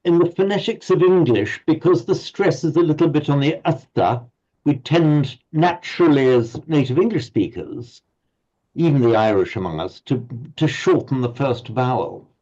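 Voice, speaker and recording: A man's voice: on the high side, animated, moderate pace, mellow. A man teaching a Pali class online, explaining patiently and often stumbling over repeated words. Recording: slightly muffled audio.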